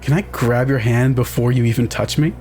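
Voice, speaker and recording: Mid-pitched man playing a tabletop game. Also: brisk, a little mellow, a little hiss or hum. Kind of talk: casual game table talk.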